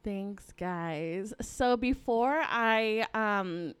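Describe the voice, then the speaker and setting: Young woman podcast host, smooth raspy voice. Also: very slow, a bit bright. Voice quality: raspy, low voice. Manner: laughs a lot, says y'all and um. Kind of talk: casual chat. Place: studio set.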